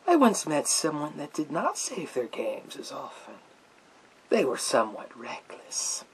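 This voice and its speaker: A man playing a menacing, theatrical psychic warrior in a breathy whisper for ASMR roleplay, speaking slowly through a gas mask. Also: a little high, huge pitch swings, a little hiss or hum, heavily acted, rough recording. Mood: smug. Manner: slow, drawn-out, theatrical delivery. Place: small room lined with bookshelves. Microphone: speaking through a gas mask, close to camera.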